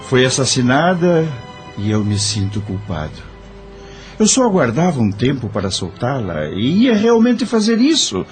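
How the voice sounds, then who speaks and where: A man's voice: medium pitch, big pitch swings, measured pace. A man playing an anguished, guilt-ridden man confessing in an audio drama. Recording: music under the voice.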